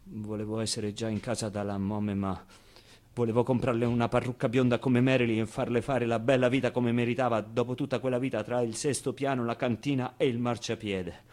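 An actor playing a naive, foul-mouthed, wistful orphan boy in an expressive theatrical monologue, with hesitant repetitions and pauses. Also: mid-range voice, close to an everyday voice. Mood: eager, frustrated. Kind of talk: theatrical monologue, storytelling to an audience.

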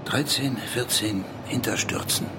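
An actor playing a brooding East German writer, terse and awkward, speaking in halting, clipped phrases in a literary audio drama. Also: male voice, medium pitch, heavy background noise, lightly acted. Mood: laconic.

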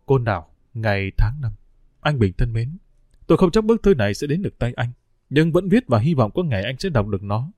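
A man's voice: medium pitch, very animated, fairly quick, a little mellow, no echo, studio quality. A man reading a weary prisoner's melancholy letter. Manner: melancholy, reflective. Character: weary middle-aged escaped prisoner writing a letter.

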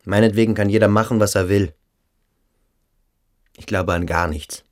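A man's voice: lowish voice, steady tone, moderate pace, dry recording, clean studio sound, close to an everyday voice. A man in a radio drama, playing a sceptical, troubled boarding-school boy, reserved and questioning. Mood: detached, troubled. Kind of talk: radio play acting.

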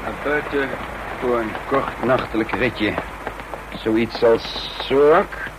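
A man's voice: mid-range voice, takes it slowly, noisy background, close to an everyday voice. An actor playing a defiant trained fighter who recounts his own escape in a radio drama, with a sardonic edge. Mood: sardonic defiance. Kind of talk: radio drama, first-person narration and dialogue.

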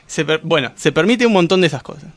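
An Argentine man in a casual radio discussion, explaining things in a halting way, correcting himself and often checking that he is followed. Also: a little high, expressive. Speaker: guest discussing comics and manga.

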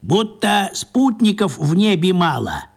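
An actor playing a folksy old village carter who talks in rustic dialect, for a radio play. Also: male voice, high voice, very animated, unhurried, slightly rough, heavily acted. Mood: grumbling, dismissive.

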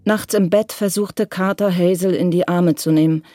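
A woman giving a sober, measured scripted narration for a crime radio drama. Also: on the low side, steady tone, mellow, no room sound, studio quality. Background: music.

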